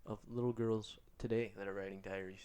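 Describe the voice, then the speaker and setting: A man's voice: lowish voice, level tone, a little fast, dark tone, clean tone. A man hosting a podcast, congested and nasal, talking in a loose, slangy, rambling way. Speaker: podcast host. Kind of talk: casual chat.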